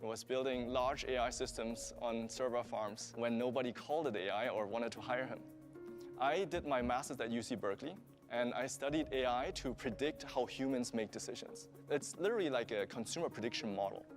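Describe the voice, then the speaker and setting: A man in his thirties from Germany with a German accent, pitching his startup to investors in an earnest, explanatory way. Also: brisk, music in the background. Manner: earnest, explanatory, steady delivery.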